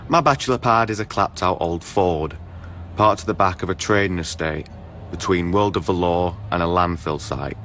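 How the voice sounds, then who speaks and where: Radio drama role: down-at-heel ladies' man, wry verse monologue, fairly deep voice, ambient noise behind. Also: a bit bright. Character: sardonic charming half-Latin salesman sleeping rough in car. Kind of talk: poetic radio drama acting.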